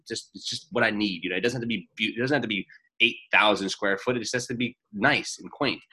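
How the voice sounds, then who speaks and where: A young man in his late twenties talks at a quick clip, his low voice cutting through with a bright, sharp edge. He is blunt and emphatic, swearing freely and rambling through his thoughts in a casual chat. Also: low-quality recording. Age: 20s.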